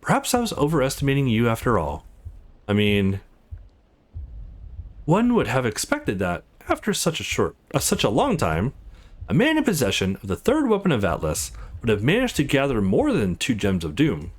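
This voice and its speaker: A man voicing a cold, condescending wealthy businessman in a visual novel, measured and mocking. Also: mid-range voice, wildly swooping pitch. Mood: smug, menacing.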